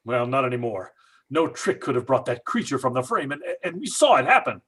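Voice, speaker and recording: A man's voice: mid-range voice, big pitch swings, fairly quick, fairly bright tone. An actor playing a desperate, guilt-stricken father in an old-time radio horror play, stammering and agitated. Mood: anguished. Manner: agitated, stammering, breathy exclamations. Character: desperate, guilt-stricken father searching for his abducted daughter.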